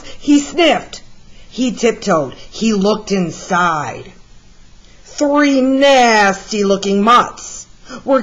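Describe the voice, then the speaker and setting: Woman, children's read-aloud, unhurried, slightly husky, expressive emphasis, muffled recording. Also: mid-range voice. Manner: deliberate, with animated emphasis for young listeners. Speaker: art teacher reading a picture book aloud.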